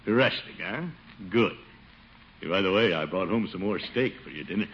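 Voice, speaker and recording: An actor playing a pompous, doting middle-aged uncle with a blustery, chuckling delivery in 1950s radio comedy style. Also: male voice, low voice, moderate pace, close to an everyday voice. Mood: coaxing. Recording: muffled, hissy old radio recording.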